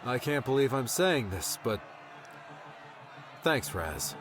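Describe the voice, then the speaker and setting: A man's voice: medium pitch, sing-song, takes it slowly, crowd noise, background noise, pitched above the performer's own voice. A man voicing an earnest, resolute young hero in audiobook dialogue. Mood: grudgingly grateful. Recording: background music under the voice.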